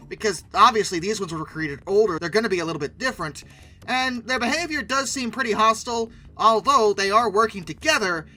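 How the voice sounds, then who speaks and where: A man's voice: high-pitched, bright, forceful tone. A man giving wry, sarcastic film commentary as the host of a video channel, leaning emphatically on words.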